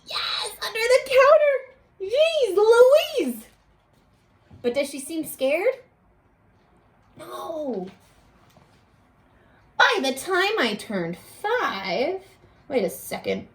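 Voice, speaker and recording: Female teacher for a kids' read-aloud: unhurried delivery, big expressive swings, invites children to answer. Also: very high voice. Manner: expressive, exclamatory, coaxing children to respond. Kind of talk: animated children's read-aloud with questions to listeners.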